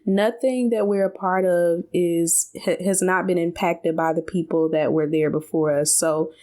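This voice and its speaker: A woman's voice: fairly low pitch, fairly even intonation, measured pace, mellow. A woman co-hosting a podcast, telling stories in a casual, conversational way with colloquial asides. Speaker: podcast co-host.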